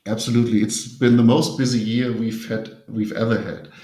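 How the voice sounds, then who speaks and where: A man's voice: mid-range voice, little pitch movement, normal speed, mellow. A native German man speaking English as an interview guest, explaining his publishing work earnestly and choosing his words carefully. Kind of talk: conversational interview. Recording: roomy, echoing sound.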